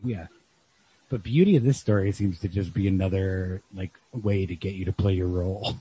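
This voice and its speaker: A man with a husky voice thinking aloud in a casual, rambling literary discussion as a podcast co-host. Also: low-pitched, normal speed, warm tone. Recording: lo-fi podcast audio.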